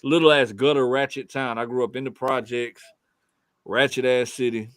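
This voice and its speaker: A man with a relaxed Southern drawl talks easily about his life, swearing often and circling back on his words. His voice sits in an ordinary middle register. The sound is close and dry, with no room echo. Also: medium pitch, moderate pace, clean tone. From Fort Pierce, Florida. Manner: laid-back, profane storytelling with frequent restarts. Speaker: guest artist. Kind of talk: casual podcast chat.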